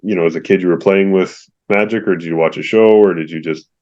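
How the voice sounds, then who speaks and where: A man's voice: sits low, steady tone, fast, darkish tone. A man hosting an interview podcast, friendly and affirming, with many fillers. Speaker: podcast host. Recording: slightly dull lo-fi audio.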